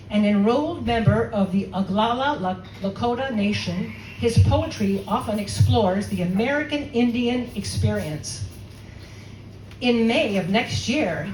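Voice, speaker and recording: A woman's voice: fairly high pitch, darkish tone, distant mic, a little hiss or hum. A woman hosting a poetry reading, giving an earnest, proud introduction of the featured poet. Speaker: event host. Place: poetry reading venue, indoors. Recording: echoey room recorded from afar.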